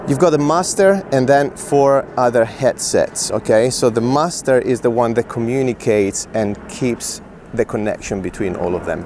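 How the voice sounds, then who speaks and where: A man's voice: medium pitch, a little mellow, slight background noise. A man hosting a casual gear review, talking informally and directly to viewers. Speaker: host reviewing film gear. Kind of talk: casual product review chat.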